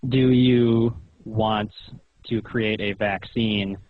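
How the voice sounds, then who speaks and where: A man's voice: lowish voice, steady tone, extremely slow. A man on a phone call, questioning and explaining in an insistent, lecturing manner. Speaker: phone caller. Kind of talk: pointed questioning in a phone conversation. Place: recorded telephone call. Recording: muffled, roomy phone call recording.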